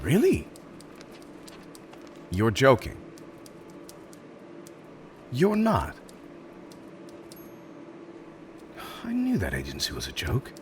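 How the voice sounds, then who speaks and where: A man's voice: mid-range voice, a little hiss or hum. A man playing a theatrical, polite but exasperated supervillain interviewer in a roleplay audio drama, hesitant and mock-professional, prone to chuckling. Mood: incredulous.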